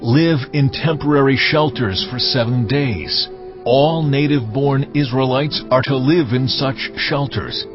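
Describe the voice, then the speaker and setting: A man's voice: medium pitch, natural voice. A man voicing a solemn, commanding God, music behind. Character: God, solemn male deity giving laws to Israel. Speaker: voice actor in dramatized audio Bible. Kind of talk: dramatized scripture reading. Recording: dull lo-fi audio, music underneath.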